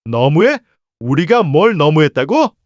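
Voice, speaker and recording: A man's voice: fairly high pitch, very animated, a little slow, dry recording, muffled recording. A man playing a menacing, mocking street thug in a narrated story, with a swaggering, sneering manner. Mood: aggressive.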